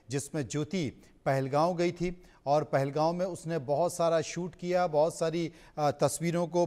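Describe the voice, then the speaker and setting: A man's voice: a little high, darkish tone. A man anchoring live television news in an emphatic manner, repeating phrases for effect.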